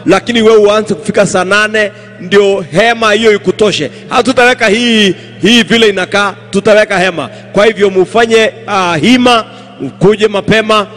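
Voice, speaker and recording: A man's voice: high voice, fairly even intonation, normal speed, a bit bright. A man with a Kenyan accent making long, flowing announcements and thank-yous to a night stadium crowd. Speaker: crusade organizer. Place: large outdoor stadium at night. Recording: reverberant stadium PA, faint music.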